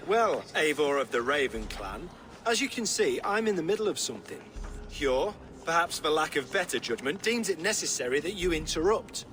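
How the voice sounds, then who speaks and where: A grumpy imperious viking-age king for a game, bit high pitched, short with everyone. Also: sing-song, moderate pace. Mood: irritated. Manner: imperious, curt. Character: irritable, haughty Viking-age king, impatient and weary. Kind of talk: dramatic video game acting.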